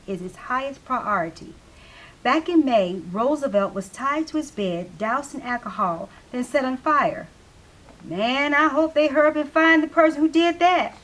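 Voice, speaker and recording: Woman as higher-voiced chatty radio newscaster. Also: a bit bright, poor audio. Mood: newsy, concerned. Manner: chatty, colloquial, emphatic. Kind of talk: radio announcing in a stage play reading.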